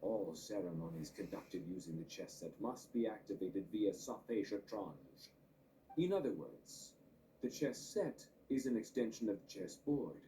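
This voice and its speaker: Mellow, dignified scholarly sage. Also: male voice, fairly low pitch, slight background noise, poor audio. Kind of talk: anime video game voice acting.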